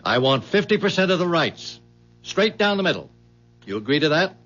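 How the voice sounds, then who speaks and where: A shrewd, bullying company boss in vintage radio drama. His husky voice moves up and down a great deal as he gives brisk orders. The recording is dull and aged, with a little music. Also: fairly high pitch, big pitch swings, a bit bright. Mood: brisk, commanding. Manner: brisk, bossy, talks in sports metaphors. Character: pushy, domineering car company boss, shrewd and grasping. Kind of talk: 1970s radio drama acting.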